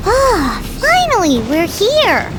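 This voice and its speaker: Tiny childlike fairy sidekick for a video game: squeaky, excitable, extremely high, wildly animated. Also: female voice, slow, heavily acted. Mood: excited. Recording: loud game music behind voice. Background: loud music.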